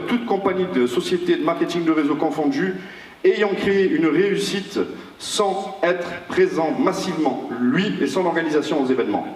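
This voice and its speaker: A man with a high, bright voice delivers a rousing motivational talk and hammers his points home through repetition and rhetorical questions. He sounds distant from the microphone. His voice echoes with a long tail through a large hall. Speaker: network marketing trainer. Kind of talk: motivational seminar speech. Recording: echoey hall, mic far off.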